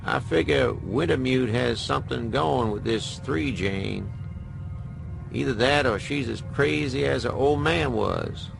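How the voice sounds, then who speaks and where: A crusty, gravelly, sardonic old mentor. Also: male voice, medium pitch, a little slow, over music. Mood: wry, matter-of-fact. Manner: folksy drawled phrasing, sardonic. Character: wry, crusty veteran hacker mentor, folksy. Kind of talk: radio drama acting.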